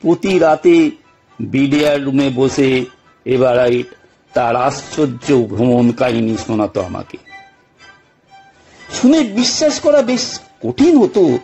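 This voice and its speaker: A man delivers dramatic scripted narration as a storyteller. He moves slowly, pausing between phrases, and his pitch rises and falls widely. Music plays softly beneath the voice. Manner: theatrical, deliberate phrasing with frequent pauses. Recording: music bed behind narration.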